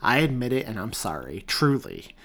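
Man voicing a loud easygoing cop partner. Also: fairly low pitch, sing-song, moderate pace, vocal fry, full character voice. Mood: coaxing. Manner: loud, exasperated then coaxing.

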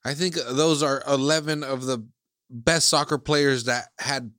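A man's voice: mid-range voice, steady tone, unhurried, fairly bright tone, no room sound. Rambling man from Iceland, dry sound. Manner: rambling, circles back with "again" and "like". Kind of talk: casual chat.

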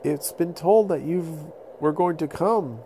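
Casting: male storyteller as young woolly mammoth for a bedtime story, high-pitched, eager, friendly and wide-eyed, noisy home-style recording. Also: slow, dark tone. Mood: amazed, welcoming.

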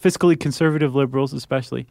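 American guy podcasting, mid pitched voice, explains stuff casually with dry jokes, really dry sound. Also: fairly even intonation, rapid-fire, gravelly, darkish tone, dry recording. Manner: wry, joking asides. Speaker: podcast co-host.

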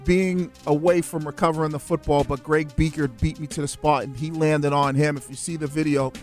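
A man's voice: on the high side, flat delivery, brisk, darkish tone, faint music behind. A man, a former football player, recalling a play casually in an interview. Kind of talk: documentary interview.

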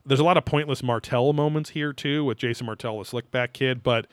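A man's voice: medium pitch, brisk, no room sound. A man in his thirties hosting a casual recap chat, rambling and swearing freely, sometimes reading quotes aloud theatrically. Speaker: podcast host.

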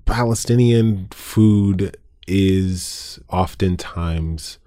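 A man interviewing a guest, asking a measured, carefully phrased question. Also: low voice, dark tone, no room sound, clean studio sound.

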